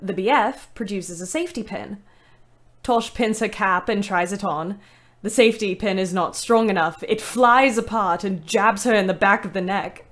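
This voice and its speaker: An actress playing a weary young ambulance driver, narrating her diary in a measured, dryly wry way for an audio play. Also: mid-range voice, natural voice. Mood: descriptive.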